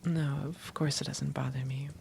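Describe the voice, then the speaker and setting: A woman's voice: a bass voice, little pitch movement, moderate pace, creaky, background noise. A woman playing an affectionate, teasing young vampire girlfriend in an intimate roleplay audio, playful and tender with her partner. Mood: tender, soothing.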